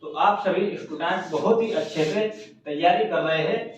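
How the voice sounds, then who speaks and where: A man's voice: sits high, normal speed, a little hiss or hum. A man giving informal exam guidance to students in an instructive way, repeating points for emphasis. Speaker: exam coaching YouTuber. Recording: very echoey room, distant mic, muffled.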